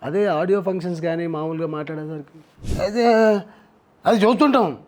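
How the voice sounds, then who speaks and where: A high-voiced male mimicry artist answering questions. He talks haltingly, repeating himself as he restarts. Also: a little mellow. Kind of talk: interview answers.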